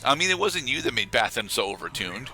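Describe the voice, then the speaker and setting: Bright-voiced, lively male game commentator. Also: mid-range voice, a little fast, soft background music, poor audio. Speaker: gaming commentator. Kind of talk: let's play commentary, explanatory with dry jokes.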